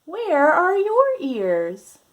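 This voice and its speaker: A woman with a slightly high voice reads to young children at a very unhurried pace. She speaks as a mindfulness teacher would, deliberate and gently instructive, guiding small listeners through the story. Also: wildly swooping pitch. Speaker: children's yoga and mindfulness teacher reading a picture book. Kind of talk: children's read-aloud with guided breathing. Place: at home.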